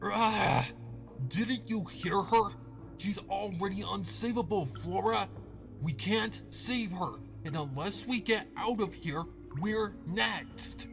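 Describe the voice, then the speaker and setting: A man voicing a gruff stallion ally, pitched well above his own voice and shouting urgently. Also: slow, music in the background, full character voice.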